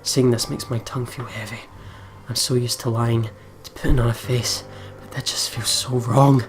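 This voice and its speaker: A man reading visual novel narration aloud as a let's player, dramatically, dropping to a hush at phrase ends. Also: mid-range voice, steady tone, normal speed, noisy background.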